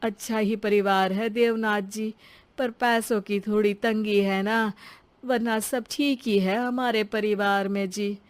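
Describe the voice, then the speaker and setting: A woman's voice: mid-range voice, steady tone, slow, dry recording, natural voice. A woman voicing a humble, anxious father of a bride in a cartoon story, speaking with pleading deference.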